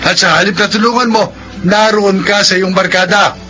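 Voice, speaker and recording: High, bright-voiced stern Filipino father. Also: steady tone, faint music behind. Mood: reproachful. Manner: admonishing, emphatic, measured moralizing. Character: stern, principled elderly father scolding his grown son. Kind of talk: Filipino radio drama acting.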